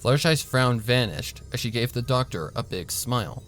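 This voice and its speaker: A man reading a story aloud as narrator, in a measured storytelling manner. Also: mid-range voice, faint music behind. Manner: measured storytelling, voicing a flat doctor in quotes. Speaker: narrator and reader. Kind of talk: audiobook narration of fan fiction.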